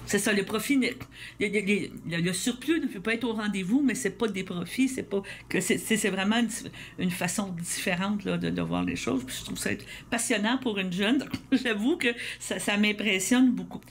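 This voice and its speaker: A woman radio host with a Québécois French accent talks in a chatty, rambling way, stumbling over repeated words as she builds her questions. Her pitch sits in a middle range, with faint noise under the recording. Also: lively intonation, moderate pace. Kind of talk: convivial radio interview.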